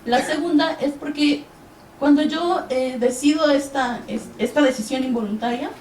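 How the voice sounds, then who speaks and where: A woman's voice: sits high, moderate pace, a little mellow, slight background noise. A young woman from Mexico giving an earnest personal account on a panel, acting out quoted exchanges as she tells her story. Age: young adult. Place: event hall. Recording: echoey hall, distant mic.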